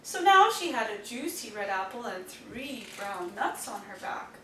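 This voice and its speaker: A woman reading children's stories aloud, with an expressive, deliberate storytelling manner for young listeners. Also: medium pitch. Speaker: storybook reader. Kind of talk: children's read-aloud narration. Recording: roomy, distant mic, faint noise.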